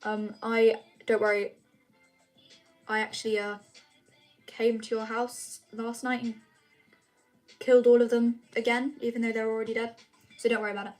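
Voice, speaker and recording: A woman's voice: a little high, flat delivery, normal speed, pauses often, darkish tone, soft background music. A young woman chatting casually with her viewers on a live stream, rambling with lots of fillers. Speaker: vlogger answering chat.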